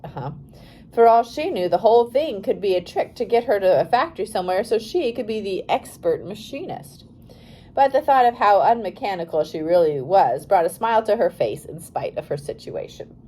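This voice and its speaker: Female audiobook reader, medium pitch, scripted story narration, careful delivery with occasional small slips. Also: animated, normal speed, warm tone, a little hiss or hum, poor audio. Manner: careful read-aloud with occasional stumbles.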